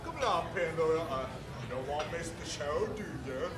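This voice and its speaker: A man's voice: on the high side, lively intonation, normal speed, crowd noise, natural voice. A man playing a taunting, menacing bushwhacker in a western radio drama, with a sly drawl. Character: mocking, menacing male western bushwhacker and bully. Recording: live stage with audience noise.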